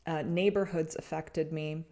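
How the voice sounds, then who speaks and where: A woman from Canada hosting a podcast, talking reflectively to her listeners about spirit and design, with a searching, halting manner full of restarts and fillers. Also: low-pitched, steady tone, warm tone. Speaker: podcast host.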